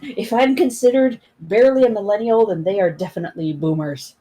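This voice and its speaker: A woman in her thirties chatting casually as a guest on a live stream, blunt and animated, often cutting in on the others. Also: medium pitch, a little fast. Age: 30s. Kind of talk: casual chat.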